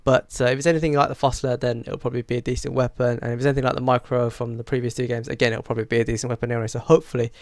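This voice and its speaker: Brief: male gaming host, mid pitch, croaky from a cold, chatty and filler-heavy, dry close mic. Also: level tone, rapid-fire, few pauses, a little mellow, no echo. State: has a cold, stuffy and croaky. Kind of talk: casual news rundown to camera.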